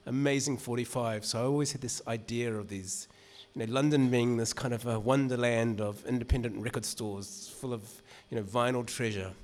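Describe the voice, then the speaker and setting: A man's voice: medium pitch, darkish tone. A man with a New Zealand accent, an author telling a live audience in casual, rambling talk full of restarts. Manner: chatty and rambling, many restarts and "you know". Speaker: author reading at a literary event.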